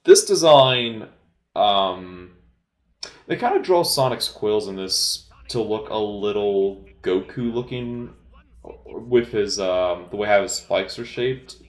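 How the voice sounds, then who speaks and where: A man giving casual, unscripted movie commentary as an amateur, speaking hesitantly with halting, trailing pauses. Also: lowish voice, reverberant.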